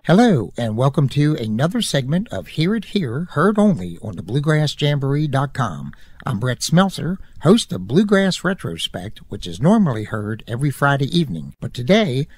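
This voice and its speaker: A man hosts a radio interview segment, reading his introductions in a formal, courteous manner. His pitch is ordinary for a man, neither high nor low. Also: sing-song, moderate pace, darkish tone, husky. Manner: formal, courteous announcer delivery. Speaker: radio host.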